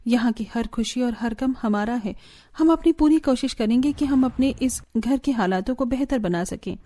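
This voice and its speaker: A woman's voice: on the high side, normal speed, mellow, natural voice. A woman voicing an earnest, dutiful young daughter-in-law, caring but firm, in a cartoon story. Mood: caring, resolute. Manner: earnest, pleading. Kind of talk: Hindi cartoon story voice acting.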